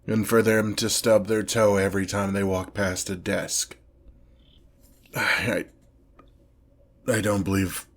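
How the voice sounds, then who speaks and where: An ancient lord of chaos acted by a man holds forth in a low voice for an ASMR fantasy roleplay. He takes his time over every phrase, pompous and theatrical. His menace comes with haughty exasperation at petty mortals. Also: little pitch movement. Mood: incredulous, exasperated. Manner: pompous, theatrical, deliberate drawn-out delivery.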